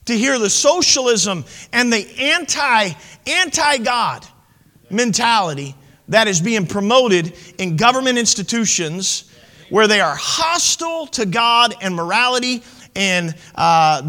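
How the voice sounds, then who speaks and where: A man's voice: high-pitched, expressive, a little slow, bright, forceful tone, big-room sound. A man in his late thirties, preaching a sermon as pastor, impassioned and conversational with asides to the congregation. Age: 30s.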